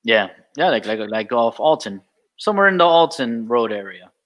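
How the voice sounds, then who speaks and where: Mid-pitched man in casual podcast chat. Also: big pitch swings, normal speed, a bit bright. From Miami, Florida. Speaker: podcast co-host.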